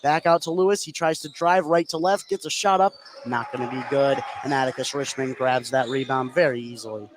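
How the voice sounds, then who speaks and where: A man's voice: mid-range voice, a little fast, few pauses, slight background noise. A man doing live play-by-play basketball commentary for radio, lifting his voice as the action builds. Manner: rises in excitement on shots and steals.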